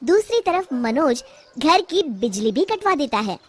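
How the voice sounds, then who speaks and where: A woman's voice: sits high, very animated, a little slow. A woman narrating a scripted cartoon family story.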